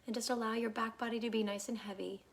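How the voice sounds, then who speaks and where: Calm woman guiding a meditation. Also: fairly high pitch. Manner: calm, unhurried, soothing, soft phrases trailing into breathiness. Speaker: guided meditation teacher. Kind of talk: guided meditation, gentle instruction.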